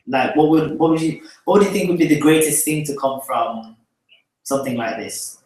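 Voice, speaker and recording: A man's voice: a little high, normal speed, a little mellow. A man hosting a live call-in show, laughing often and restarting his questions. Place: small studio with a plain backdrop. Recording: echoey room from far off.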